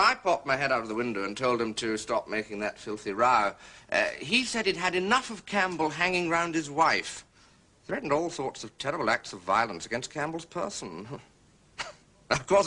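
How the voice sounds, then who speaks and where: An actor playing a blunt, slightly uneasy gentleman witness, clipped and defensive, in a period detective drama. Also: medium pitch, very animated, moderate pace. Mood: recounting.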